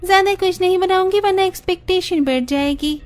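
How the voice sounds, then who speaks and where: A woman's voice: extremely high pitch, brisk. A woman playing a spoiled, defiant young rich bride in a family story, snappy and complaining. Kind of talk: dramatised Hindi family story acting.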